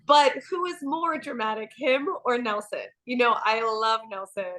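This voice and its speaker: An animated female co-host with a slightly high voice, a bit nasal from being sick. She chats in a gossipy, lively way and laughs easily. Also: moderate pace. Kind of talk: casual aftershow chat.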